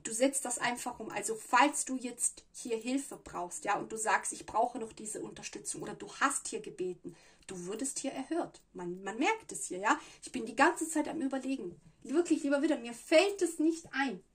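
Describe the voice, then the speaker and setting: Breathy woman reading tarot. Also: fairly high pitch, normal speed. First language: Italian. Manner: chatty, searches for words, frequent "ja" fillers. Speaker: tarot reader. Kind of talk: casual tarot reading to camera. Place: small room at a table with cards and candle.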